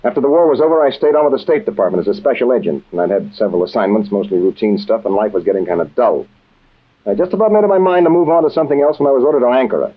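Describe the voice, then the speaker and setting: An actor playing a brash, wisecracking American special agent in an old-time radio adventure, with slangy, cocky delivery on a muffled vintage recording. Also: male voice, mid-range voice, animated, very fast, slightly rough. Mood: brash, restless.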